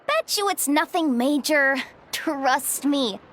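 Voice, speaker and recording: A woman's voice: sits high, lively intonation, takes it slowly, fairly bright tone, slight background noise, full character voice. An actress playing a breezy, self-assured, streetwise young woman in a video game, talking in a casual, teasing, slangy way. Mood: teasing, laid-back. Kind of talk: video game character acting.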